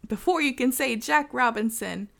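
A woman co-hosting a podcast, speaking softly and giggling. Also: fairly high pitch, normal speed, vocal fry. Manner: soft-spoken, giggly. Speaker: podcast co-host. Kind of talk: casual podcast chat.

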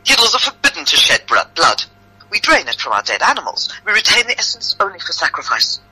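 Woman acting a bright-voiced, indignant outcast. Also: on the low side, very animated, fast, breathy edge, pitched above the performer's own voice, heavily acted, rough recording. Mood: indignant explanation. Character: defiant disguised outcast defending their slandered people.

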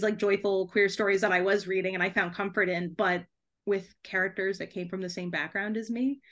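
A woman's voice: medium pitch, a bit bright. A young woman talking casually as a podcast guest, chatty and giggly, peppering her sentences with "like". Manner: chatty, frequent "like", giggles between phrases. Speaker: podcast guest, debut author. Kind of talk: casual conversational interview.

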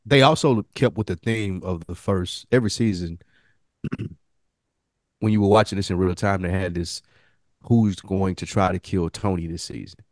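Very deep-voiced American man, dry close sound. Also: moderate pace, dry recording. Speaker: podcast co-host. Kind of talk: casual TV commentary.

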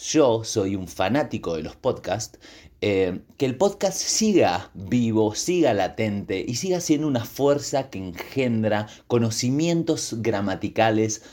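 A man who hosts a language podcast, talking as a teacher to learners in a deliberate, explanatory way and pausing to explain words. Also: lowish voice, a little mellow. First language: Spanish. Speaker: podcast host and Spanish teacher.

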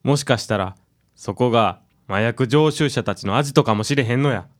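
A mid-pitched male voice with a Kansai accent from an actor. He is a gossipy young working man in a radio drama, sharing suspicions in a colloquial, conspiratorial way. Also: brisk, lightly acted.

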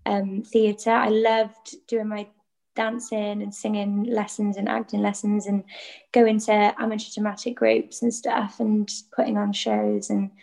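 A woman's voice: mid-range voice, flat delivery, measured pace, gravelly, dark tone. A young woman from North Wales with a North Welsh accent, a musical theatre performer chatting as an interview guest. Welsh is her first language, and she talks in a chatty way, full of fillers and giggles. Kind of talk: casual interview chat.

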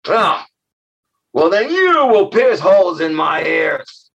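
A man voicing a greedy giant ogress who eats children, speaking slowly and gruffly with a low, menacing voice. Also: very animated, fairly bright tone, dull audio, full character voice. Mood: greedy, vain.

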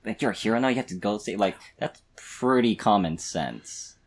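Man, podcast panelist, fairly low pitch, casual chat, faint background noise. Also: expressive, normal speed.

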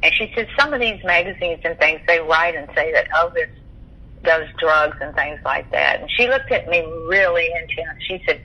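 A woman's voice: sits low, expressive, moderate pace, slightly rough, lo-fi sound. An older woman, reminiscing in a talkative, rambling, conversational way over the telephone. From Texas, United States. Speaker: interview guest sharing memories.

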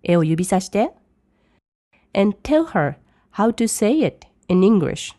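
A woman who teaches English, reading each English line slowly and then translating it briskly. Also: mid-range voice, very animated, warm tone, no room sound, studio quality. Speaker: language teacher. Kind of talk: bilingual lesson commentary.

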